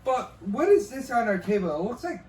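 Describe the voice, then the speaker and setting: An excitable man of thirty co-hosts a casual chat show with a high, lively voice that swings widely. He sits away from the mic in an echoey room with faint background ambience. His remarks are loud in spirit and full of profanity. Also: fairly quick, far from the mic, a little hiss or hum. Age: 30s. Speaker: podcast co-host.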